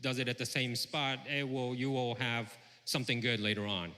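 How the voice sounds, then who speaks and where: A man's voice: mid-range voice, steady tone, normal speed, bright, forceful tone. A man interpreting live on stage, piecing his sentences together hesitantly. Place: stage in a large event hall. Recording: echoing large hall over PA.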